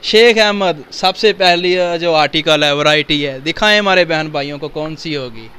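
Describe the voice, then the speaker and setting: A man's voice: a little high, pushed and bright, clean tone. A man, interviewing a young shop owner in a cloth warehouse on a handheld mic in an upbeat, promotional manner. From Pakistan. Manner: upbeat, promotional, asks quick questions. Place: indoors, small cloth warehouse.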